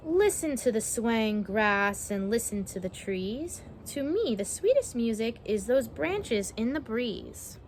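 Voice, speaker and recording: A woman voicing a kindly little male cricket in a storybook, giving gentle, soothing advice. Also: fairly high pitch, big pitch swings, slow, few pauses, a little hiss or hum. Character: kindly small male cricket, wise and calm.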